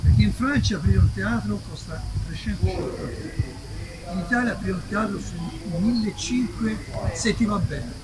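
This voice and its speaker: High-voiced man in his sixties, noisy recording. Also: moderate pace. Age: 60s. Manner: insistent, complaining. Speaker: audience member, publicist. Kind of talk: impromptu argument from the audience. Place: outdoor festival. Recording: noisy live outdoor recording.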